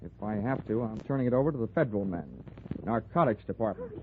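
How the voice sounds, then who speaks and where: An actor playing a wry, tough-talking insurance investigator in a 1940s radio detective drama, both in dialogue and first-person narration. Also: male voice, lowish voice, expressive, fast, very noisy, lightly acted. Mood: firm, sardonic. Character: wisecracking, hard-boiled male insurance investigator, lone private eye. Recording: tinny 1940s radio recording with music.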